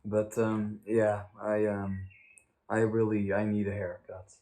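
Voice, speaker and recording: A man chatting casually as a vlog host, telling stories in a halting, stammering, joking way. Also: on the low side, flat delivery, dark tone. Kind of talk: casual rambling storytime chat. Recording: noisy amateur vlog recording.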